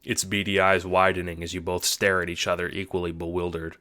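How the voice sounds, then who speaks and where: Guy narrating an audiobook story, low voice but crisp and bright, scripted second person. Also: level tone, fairly quick.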